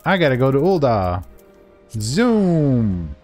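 A man's voice: mid-range voice, sing-song, takes it slowly, a little mellow. A man giving a casual, jokey walkthrough over gameplay, playful and informal in the way he talks. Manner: playful, informal, voices paraphrased dialogue and silly sound words. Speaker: gaming video creator giving a quest guide. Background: music.

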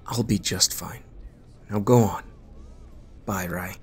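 Man playing a low-voiced, loving father. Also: takes it slowly, a little mellow, breathy edge, faint music behind, exaggerated voice. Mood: tender, solemn. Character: loving, guilt-burdened father of a college-bound son. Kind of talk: amateur audio drama acting.

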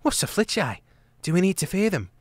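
A man's voice: high voice, big pitch swings, a little fast, no echo, pitched above the performer's own voice. A curious, worried young hedgehog maid from a storybook, as a male reader puts her on. Her voice rides well above his own, light and questioning, recorded close and dry. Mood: anxious.

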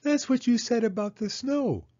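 Man putting on a high-pitched little girl mouse. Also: lively intonation, normal speed, dark tone, muffled recording. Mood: worried. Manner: earnest, childlike questioning and exclaiming. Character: sweet, eager, curious little girl mouse.

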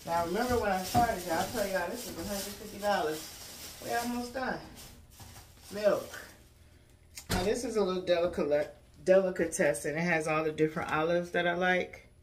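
A woman's voice: fairly low pitch, normal speed. An American woman vlogger chatting casually to viewers in a folksy, colloquial way as she unpacks. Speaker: vlogger showing a grocery haul. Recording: echoey room, distant mic, clear background noise.

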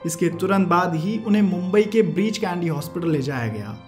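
A man's voice: high-pitched, expressive, fairly quick, darkish tone. A man reading a scripted biographical narration as a narrator. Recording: prominent background music. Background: instrumental music.

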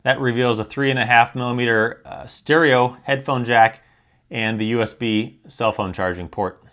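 A man with a mid-pitched voice presents a product review. He talks casually and candidly, explaining things step by step in a conversational way with dry humour. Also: fairly even intonation, normal speed, fairly bright tone.

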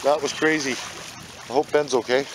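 A man's voice: mid-range voice, steady tone, a little slow. A man vlogging while fishing on a rocky lakeshore, chatting upbeat to his viewers with lots of fillers. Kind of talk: casual chat to camera. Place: rocky lakeshore outdoors, windy. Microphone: camera mic in strong wind. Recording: loud wind and waves on mic.

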